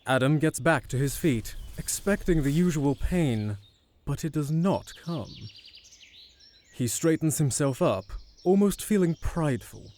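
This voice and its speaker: A man's voice: a little high, expressive, a little slow, darkish tone. A man reading scripted narration for an audio drama.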